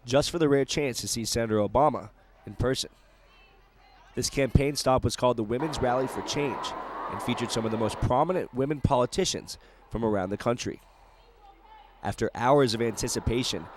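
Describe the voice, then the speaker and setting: A man's voice: medium pitch, slight background noise. A young man with an American accent reads scripted news in a formal newscaster delivery, as a reporter and anchor. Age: young adult.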